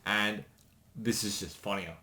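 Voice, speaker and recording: A man co-hosting a casual commentary, easygoing and agreeable with quick asides. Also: fairly low pitch, steady tone, pushed and bright. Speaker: co-host of a creator commentary.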